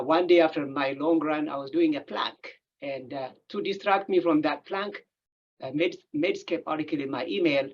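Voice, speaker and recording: A man with an Ethiopian accent, whose first language is not English, presenting as an endocrinologist in a webinar, halting and often pausing with filler sounds. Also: fairly high pitch, muffled recording. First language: not English; English is his third language. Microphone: video call.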